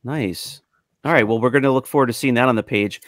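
A man's voice: mid-range voice, a little fast, a bit bright, no room sound, clean studio sound. A man hosting a podcast in casual group chat. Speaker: podcast host.

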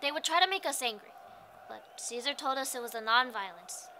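A woman's voice: sits high, expressive, brisk, bright, forceful tone, slight background noise, exaggerated voice. A woman playing an earnest farmworker remembering the movement in a dramatized oral-history monologue.

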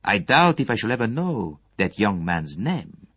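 A courteous foreign detective, acted out by a male audiobook reader, formal and gently probing. His phrasing is precise and measured, his pitch swings widely, and the voice is a little rough-edged in a very dry recording. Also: sing-song, no room sound, full character voice. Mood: earnest, advising.

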